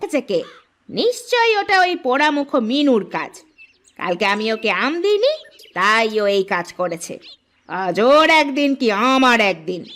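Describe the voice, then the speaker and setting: A high, wildly swooping voice of a woman acting as a spiteful village stepmother. She is bossy and scolding in cartoon fashion, with faint music behind. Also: very animated, a little slow, fairly bright tone. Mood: suspicious anger. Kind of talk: cartoon story dialogue.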